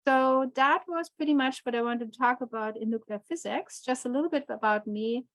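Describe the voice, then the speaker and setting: A woman with a German accent lectures on physics in a slightly high voice. She talks with many fillers and restarts. The recording is dry, with little room sound. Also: a little fast, no room sound. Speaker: physicist giving a talk. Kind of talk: online lecture presentation.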